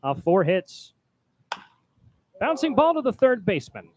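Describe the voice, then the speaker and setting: Male baseball commentator, higher-pitched, projects on calls, live booth feel with clear background noise. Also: big pitch swings, measured pace, darkish tone. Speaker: baseball play-by-play announcer, also doing public address. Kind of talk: live play-by-play sports commentary. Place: press booth at a ballpark.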